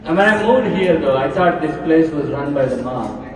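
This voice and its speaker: A man from New Jersey doing a stand-up set, telling deadpan stories and acting out quotes. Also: fairly high pitch, normal speed, crowd noise. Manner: deadpan storytelling with acted quotes. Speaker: stand-up comedian. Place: event hall with a crowd. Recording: echoey hall, mic heard from far off.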